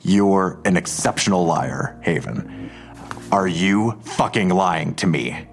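Menacing, very low-voiced male detective. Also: slow pace, slight background noise. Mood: angry, threatening. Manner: pressing, accusatory, pointed questioning. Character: menacing, suspicious male police detective interrogating a woman. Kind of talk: audio drama acting.

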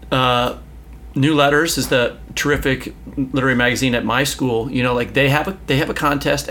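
A man's voice: medium pitch, gravelly, a bit bright. A man co-hosting a podcast, asking a long, hesitant question full of fillers in a casual conversation. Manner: hesitant, many fillers, quick agreeing interjections. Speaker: podcast co-host.

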